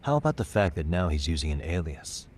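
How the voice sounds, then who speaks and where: An actor playing a composed, persistent private detective, calmly and politely probing in a video game cutscene. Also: male voice, low-pitched. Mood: probing, measured. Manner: calm, measured, politely probing questioning. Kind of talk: English-dubbed video game cutscene acting.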